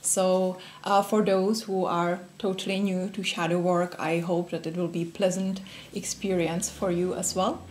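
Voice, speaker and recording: A woman's voice: on the low side, flat delivery, normal speed, hardly any pauses, a little mellow, a little hiss or hum. A young woman from the Czech Republic with a Czech accent, giving a friendly, casual talk to a small group with plenty of fillers and restarts. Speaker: speaker giving a workshop talk. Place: room with a group of listeners.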